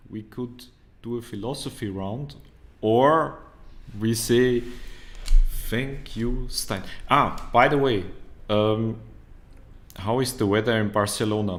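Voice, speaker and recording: A man's voice: medium pitch, a bit bright. A man moderating a live online Q&A, reading out viewer comments in an easygoing, joking way. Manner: slow and deliberate, joking, easygoing. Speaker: event moderator.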